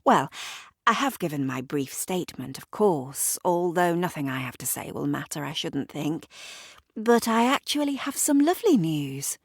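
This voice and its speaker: Woman acting a smooth, airily superior society lady. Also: deep voice, big pitch swings, normal speed, no room sound, clean studio sound, full character voice. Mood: airily pleased. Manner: breezy, faintly superior. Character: smooth, self-satisfied, languid society woman.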